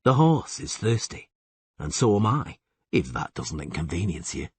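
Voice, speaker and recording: A man voicing a calm, unflinching monster hunter with a terse, dry manner in a fantasy audiobook. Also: deep voice, moderate pace, breathy edge, pitched below the performer's own voice, full character voice. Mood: unruffled. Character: calm, unflinching male monster-hunting swordsman, laconic and dry.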